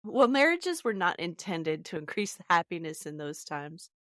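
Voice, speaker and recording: A woman's voice: fairly low pitch, very animated, moderate pace, fairly bright tone, dry recording, studio quality. A woman hosting a podcast in a conversational style. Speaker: podcast host. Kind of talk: conversational discussion.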